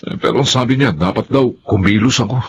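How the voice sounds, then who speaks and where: A man's voice: medium pitch, brisk, slight background noise, lightly acted. Conflicted, placating young father, played by a man. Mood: troubled. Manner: placating, earnest. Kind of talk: Filipino radio drama acting.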